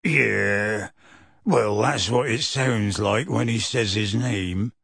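A man's voice: mid-range voice, very animated, creaky, a bit bright, slightly rough, dry recording, clean studio sound, exaggerated voice. Man playing a big, earnest, rustic half-giant. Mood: anxious, confiding. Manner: earnest, halting, rustic dialect grammar. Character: huge, kindly half-giant man, emotional, pleading, rustic. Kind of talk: audiobook character voice.

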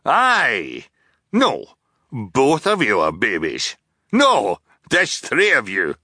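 A man voicing a cheerful, scatterbrained enormous male submarine captain who booms out contradictory orders. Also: huge pitch swings, extremely slow, sharp tone, husky, dry recording, studio quality, exaggerated voice. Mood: boisterous. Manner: booming, blurting contradictory orders.

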